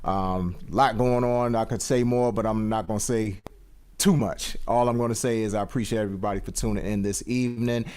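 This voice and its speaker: A man's voice: lowish voice, steady tone, a little fast, darkish tone, clean tone. Effusive man hosting a casual livestream. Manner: effusive, repeats greetings and thanks. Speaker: show host.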